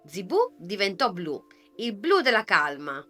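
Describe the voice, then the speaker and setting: Soft-voiced woman reading a bedtime fairy tale, slow and careful, music under it. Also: mid-range voice, big pitch swings. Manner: soft, measured, dramatic pauses. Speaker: storyteller. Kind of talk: gentle scripted story narration.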